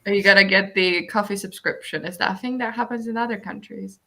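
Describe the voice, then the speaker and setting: Bright-voiced woman chatting on a livestream. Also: mid-range voice, fairly quick. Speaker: host of a livestream reading sprint. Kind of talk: casual chat, reading out viewer comments.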